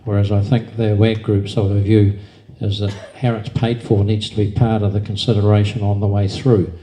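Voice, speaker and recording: Deep, very dark, flat-voiced man. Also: a little hiss or hum. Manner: measured, patient explaining. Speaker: meeting chair. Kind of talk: explaining at a public meeting.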